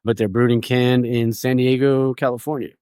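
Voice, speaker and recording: A man's voice: mid-range voice, flat delivery, moderate pace, warm tone, smooth, no echo, clean studio sound. A man hosting a podcast, chatting casually and joking through a beer tasting, swearing now and then. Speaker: podcast host.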